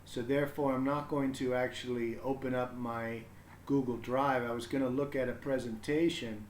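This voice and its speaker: A man's voice: medium pitch, fairly even intonation, normal speed, slight background noise. A man talking casually on a livestream, explaining things in a rambling way as he thinks aloud. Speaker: live streamer reading to children. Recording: roomy, echoing room sound.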